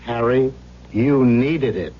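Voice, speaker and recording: An actor playing a probing, challenging doctor in an old-time radio drama, speaking in a pointed, analytical way. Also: male voice, medium pitch, slow. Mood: probing, insistent. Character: probing, challenging male doctor lecturing his patient. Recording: muffled, humming old radio recording.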